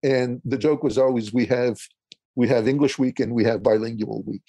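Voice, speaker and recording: A man from Eastern Ontario whose first language is French, answering interview questions as a consultant in a halting way, with many fillers and restarts. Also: mid-range voice, steady tone, mellow, no room sound. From Eastern Ontario, Canada. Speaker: guest, consultant.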